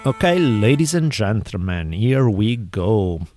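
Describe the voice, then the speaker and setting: A man's voice: fairly low pitch, sing-song, slow pace, a little mellow, soft background music. A man with an Italian accent hosting a casual interview, rambling and laughing often. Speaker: podcast host.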